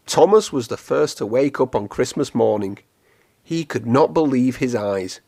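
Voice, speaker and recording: A man reading a children's storybook aloud in an expressive, clearly phrased storytelling manner. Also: mid-range voice, measured pace. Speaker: storybook reader.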